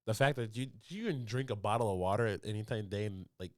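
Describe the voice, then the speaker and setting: A young man with a low voice, talking loosely and rambling on a podcast. The sound is close and dry, with no room around it. He laughs between thoughts and keeps restarting his sentences. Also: fast talker, no room sound. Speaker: podcast co-host. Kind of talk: casual chat. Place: podcast studio.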